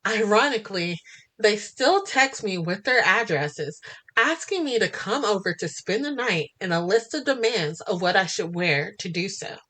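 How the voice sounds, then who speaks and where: A woman narrating a first-person romance story in an expressive, flirty and sassy way. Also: mid-range voice, moderate pace. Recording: music bed under the voice. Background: music.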